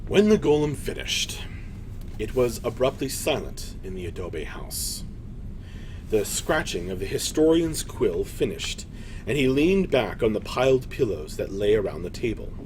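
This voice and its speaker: A man narrating a scripted fantasy story dramatically, with deliberate pauses. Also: on the low side, sing-song, darkish tone, a little hiss or hum, poor audio.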